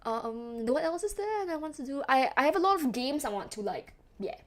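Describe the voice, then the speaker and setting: A young Singaporean woman talks loosely to her stream chat, her accent toned down but still there. Her voice sits a little high, and she rambles through fillers and quick laughs. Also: big pitch swings. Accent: Singaporean, softened toward Western English. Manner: chatty, rambling, laughs often, many fillers. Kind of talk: casual chat with live chat.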